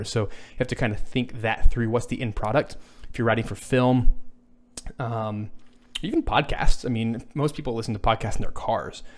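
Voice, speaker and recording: Low-voiced man chatting from a home studio. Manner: thinks aloud with many fillers and restarts. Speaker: music composer answering viewer questions. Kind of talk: casual livestream Q&A. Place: small home studio, indoors. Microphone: boom studio microphone, headphones on.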